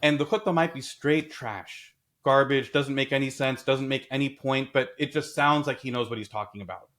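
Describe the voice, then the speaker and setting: A man in a casual discussion, talking in an animated way and telling stories with acted quotes. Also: mid-range voice, moderate pace. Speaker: podcast host.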